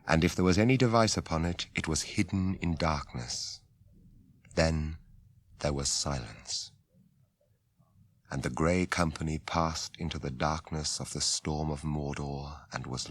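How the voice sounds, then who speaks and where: A British man giving grave, measured, scripted literary narration in a radio drama. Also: very deep voice, heavily acted. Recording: 1981 radio drama with music underneath.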